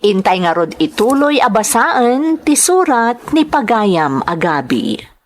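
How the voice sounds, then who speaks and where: A woman's voice: a little high, big pitch swings, slow. A woman with an Ilocano accent hosting and narrating, reading greetings and a listener's letter aloud. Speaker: host and narrator of a drama channel.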